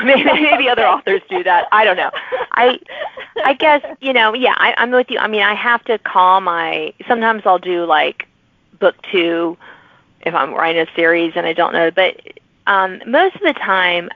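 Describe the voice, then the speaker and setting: A woman's voice: lowish voice, moderate pace, dry recording. A woman hosting a casual interview, talking informally and thinking aloud in half-finished phrases. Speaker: podcast host and fiction author. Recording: dull, lo-fi close audio.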